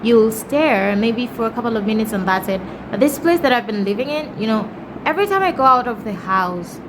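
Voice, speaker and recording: Female travel vlogger, Kenyan accent, fairly high pitch, casual conversational delivery, clear background noise. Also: animated, fairly quick. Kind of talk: casual chat to camera. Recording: clearly noisy background ambience.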